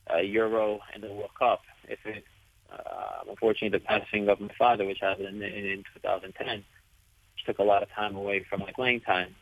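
Soft-spoken man on a tinny phone line. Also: lowish voice, no echo. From United States. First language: Italian. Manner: soft-spoken, searching for words. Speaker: interview guest, professional soccer player. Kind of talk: interview answers.

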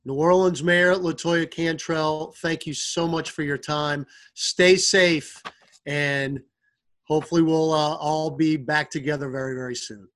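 A man's voice: fairly high pitch, steady tone, a little slow, a bit bright. A man hosting a podcast, interviewing guests in a polished sports-broadcaster style.

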